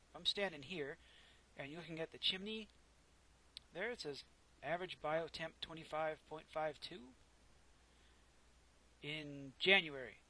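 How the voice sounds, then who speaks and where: A soft-spoken man casually narrating his own gameplay, rambling and correcting himself as he goes, close to a desk microphone. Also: on the high side, low-quality recording. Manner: rambling, self-correcting, chatty. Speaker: gameplay commentator. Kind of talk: casual let's play commentary.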